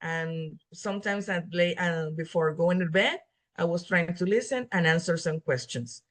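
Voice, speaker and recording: A woman from Puerto Rico whose first language is Spanish, speaking with a Puerto Rican Spanish accent and telling her story earnestly, often stopping to restart her sentences. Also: lowish voice. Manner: earnest, halting with frequent restarts. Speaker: guest, exam passer. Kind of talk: casual interview storytelling.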